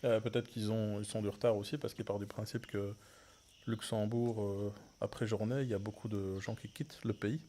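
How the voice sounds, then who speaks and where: Mellow low-voiced male podcast host. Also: fairly even intonation, a little fast, slight background noise. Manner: brief interjections and tentative remarks. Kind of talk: conversational interview.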